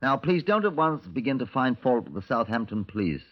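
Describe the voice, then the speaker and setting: An actor playing a brusque, sardonic Scotland Yard chief inspector in a 1950s radio crime drama. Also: male voice, medium pitch, big pitch swings, fairly quick. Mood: dry narration. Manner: brusque, dry, sarcastic. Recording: dull, lo-fi 1950s radio recording.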